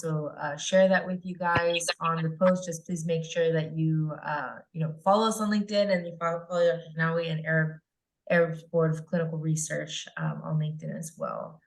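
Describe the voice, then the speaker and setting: A woman's voice: low-pitched, brisk, low-quality recording. A woman hosting a webinar interview over a video call, talking in an upbeat, chatty way with lots of fillers. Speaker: podcast and webinar host.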